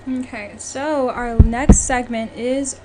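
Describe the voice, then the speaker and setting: A woman's voice: fairly high pitch, fairly even intonation, takes it slowly. A woman hosting a podcast, talking casually and emphatically as she gives her opinions. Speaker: podcast host. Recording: clearly noisy background.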